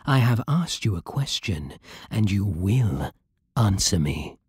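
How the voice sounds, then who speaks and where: A man with a British accent playing a possessive, seductive vampire king in an intimate ASMR roleplay, speaking softly and breathily with hypnotic, imperious endearments. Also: on the low side, sing-song, slow, dry recording, clean studio sound, exaggerated voice. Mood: stern, possessive. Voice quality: soft, breathy, husky.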